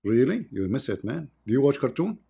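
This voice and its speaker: Gravelly, sarcastic man. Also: mid-range voice, big pitch swings, a little fast, warm tone. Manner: mocking, sarcastic, reads verses aloud while searching. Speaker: debate host. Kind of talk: live online debate.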